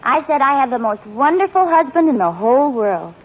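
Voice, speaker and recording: An actress playing a sweet, gently teasing wife in a 1940s radio sitcom, with a wry, laughing way of talking. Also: female voice, high voice, sing-song, brisk. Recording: lo-fi 1940s radio broadcast, loud studio audience laughter and hiss.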